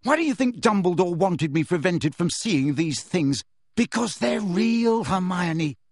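A man voicing a desperate, hot-tempered teenage boy wizard in an audiobook, speaking roughly and aggressively. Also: sits high, very animated, normal speed, a bit bright, no echo, clean studio sound, pitched above the performer's own voice, full character voice. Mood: furious, insistent. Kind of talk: audiobook character voice.

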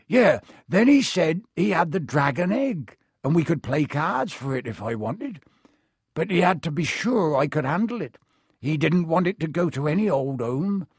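A man's voice: on the high side, big pitch swings, moderate pace, husky, no room sound, clean studio sound, voice pushed up, exaggerated voice. A man voicing a big, good-natured, bumbling groundskeeper in a storybook reading, chatty and unguarded. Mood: chatty, boastful.

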